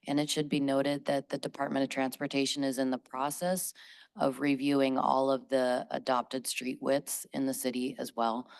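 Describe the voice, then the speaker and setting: A woman on city planning staff, hoarse from being sick, giving careful, measured answers at a public hearing. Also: extremely low pitch, dry recording. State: hoarse from illness. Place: council chamber.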